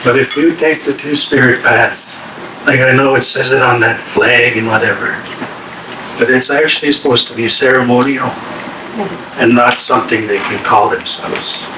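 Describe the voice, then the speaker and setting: A man speaking as a guest at a staff workshop. He is losing his voice and talks in a slow, deliberate way with pauses. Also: mid-range voice, steady tone. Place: meeting room. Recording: echoey room from a distance, audible hiss.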